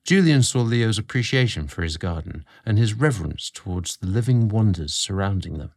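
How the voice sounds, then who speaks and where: A man narrating a scripted romantic story in a measured, expressive storybook manner. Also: lowish voice.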